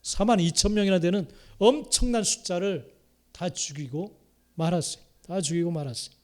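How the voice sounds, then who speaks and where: Middle-aged korean pastor, fairly high voice, preaching, gets loud then drops to a mutter, says hallelujah a lot. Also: male voice, moderate pace. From Korea, Chungcheong province. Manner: swings from loud rising exhortation to soft muttered asides; repeats key phrases, calls out hallelujah. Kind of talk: sermon.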